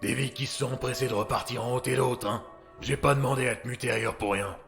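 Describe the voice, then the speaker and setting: An actor playing a gruff, distrustful, world-weary healer in an audio drama, blunt and sardonic. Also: male voice, medium pitch, fairly even intonation, fast, fairly bright tone, faint music behind. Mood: dry, blunt.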